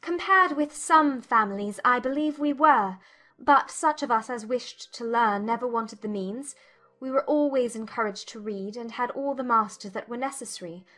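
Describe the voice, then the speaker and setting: A woman playing a composed, polite young gentlewoman with a candid manner in a dramatized audiobook. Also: fairly high pitch, moderate pace, soft background music, close to an everyday voice. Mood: polite, self-assured. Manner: composed, brief, polite answers.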